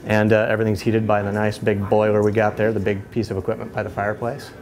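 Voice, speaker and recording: Man, thirties, low voice, relaxed brewery tour, lots of fillers, lapel mic, small brewery. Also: fast. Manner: relaxed, many fillers and restarts. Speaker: head brewer. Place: small brewery inside a bar, among steel tanks.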